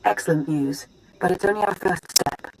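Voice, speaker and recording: An actress voicing a calm, dignified mystic ally in a video game, measured and formal. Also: female voice, on the low side, a little hiss or hum, lightly acted, low-quality recording. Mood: serene.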